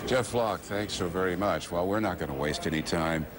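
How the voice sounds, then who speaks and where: Male anchor, middle-aged, low voice, measured delivery over music. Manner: measured, steady delivery with fillers. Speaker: news anchor. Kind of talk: live broadcast commentary.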